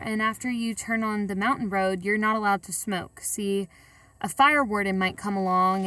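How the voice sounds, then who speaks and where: A woman's voice: mid-range voice, a bit bright, distant mic, natural voice. A woman playing a wary bank robber in an audio drama, with a colloquial, matter-of-fact way of talking. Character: Rose, wary female bank robber recounting her heist. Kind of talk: audio drama acting and first-person narration.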